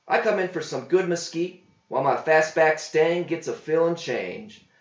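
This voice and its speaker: A man's voice: on the high side, moderate pace, voice pushed up, close to an everyday voice. Man playing a cheeky young drifter, echoey room. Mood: indignant. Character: cheeky young drifter, smooth-talking and indignant. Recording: roomy, slightly dull home recording.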